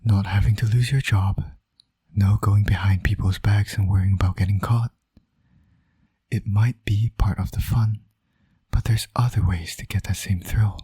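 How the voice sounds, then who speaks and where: Guy doing a teasing villain daddy for romance audio, lowish voice, super husky and breathy, almost whispery, smug and intimate. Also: fairly even intonation, normal speed, dark tone. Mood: seductive, smug. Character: teasing flirtatious male villain, doting new father. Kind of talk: romantic roleplay audio acting.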